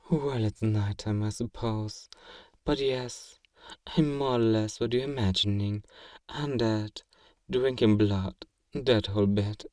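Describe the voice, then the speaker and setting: A man playing a kind, courteous vampire gentleman in an ASMR roleplay, speaking gently and reassuringly to the listener in an old-fashioned, genteel way. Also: fairly low pitch, dry recording, clean studio sound, lightly acted. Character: kind, wealthy, genteel male vampire owner, soothing and possessive.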